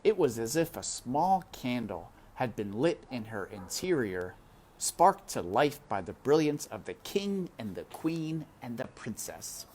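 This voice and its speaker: A woman reading a children's book aloud with expressive, animated narration and casual asides to her listeners. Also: extremely low pitch, measured pace, low-quality recording. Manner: animated, addresses listeners as friends. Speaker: read-aloud storyteller. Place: outdoors in wind. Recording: windy outdoor ambience noise.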